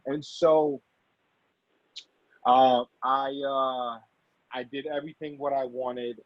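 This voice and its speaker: A man talks casually in an interview, often circling back to repeat and reword his phrases. His voice sits at an average pitch. The recording is close and dry but somewhat dull and lo-fi. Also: flat delivery, dry recording. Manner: halting, repeats and rephrases himself. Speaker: actor and amateur producer, interview guest. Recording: dull, lo-fi audio.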